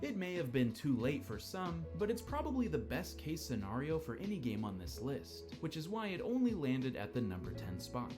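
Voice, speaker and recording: A man's voice: a little high, huge pitch swings, moderate pace, hardly any pauses. A man narrating a scripted video countdown, wry and upbeat. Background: faint music.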